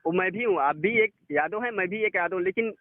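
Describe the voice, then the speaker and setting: A man's voice: sits high, a little fast, dry recording. A male caller making accusations on the phone, insistent and repeating himself. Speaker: caller confronting a singer. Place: phone call. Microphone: telephone. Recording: noisy narrow phone line with clicks.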